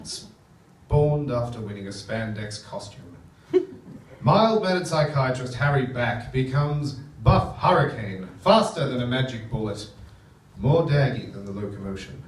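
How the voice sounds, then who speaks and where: Man playing a grandiose, slow, swooping storybook narrator. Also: medium pitch, animated, slight background noise, close to an everyday voice. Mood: dramatic. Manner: grandiose, dramatic. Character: pompous male narrator fancying himself a caped hero. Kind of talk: theatrical scripted narration. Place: theatre stage, live performance. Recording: echoey theatre stage from far off.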